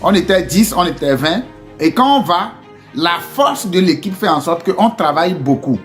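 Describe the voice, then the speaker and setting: A man's voice: sits high, expressive, normal speed, soft background music. Man with Togolese French accent, earnest. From Togo. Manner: hesitant, many "euh" fillers and restarts, rising to earnest rhetorical questions. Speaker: entrepreneur addressing his community. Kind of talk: casual motivational talk.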